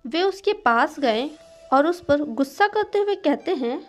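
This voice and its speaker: A woman's voice: high-pitched, animated, unhurried. A woman narrating a scripted moral story for children as a storyteller.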